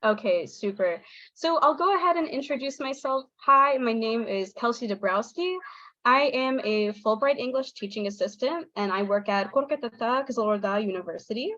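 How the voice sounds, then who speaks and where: High-voiced Southern US woman on a video call. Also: expressive, normal speed, a bit bright, no echo. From Southern United States. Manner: chatty and apologetic, frequent ums. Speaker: guest speaker, English teaching assistant. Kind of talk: online workshop presentation. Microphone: video call on phone or computer. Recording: dull, dry video call audio.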